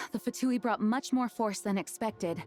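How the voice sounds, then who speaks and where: A woman playing a composed, busy, practical comrade in a video game, speaking in a measured, dry way. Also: mid-range voice, darkish tone, lightly acted. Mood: grave.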